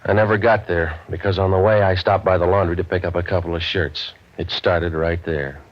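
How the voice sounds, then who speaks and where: Low-voiced cynical American private eye. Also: male voice, fairly quick. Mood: wry, world-weary. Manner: deadpan, sardonic, terse wisecracks. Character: cynical, wisecracking hardboiled waterfront private eye. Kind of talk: old-time radio detective drama narration. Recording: muffled old radio broadcast recording.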